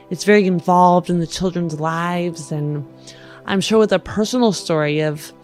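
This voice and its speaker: Effusive would-be adoptive mother played by a woman, sugary and insistent, slightly low voice, tabletop game. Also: close to an everyday voice. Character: gushing, pushy wife posing as prospective adoptive mother.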